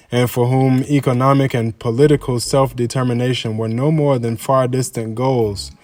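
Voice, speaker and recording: A man reads a formal, stately speech aloud. His delivery is solemn and measured, with an oratorical weight to the phrasing. His pitch sits in the middle range for a man, and music plays behind his voice. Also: a little mellow. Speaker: reader of a historical speech. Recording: music under the voice. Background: background music.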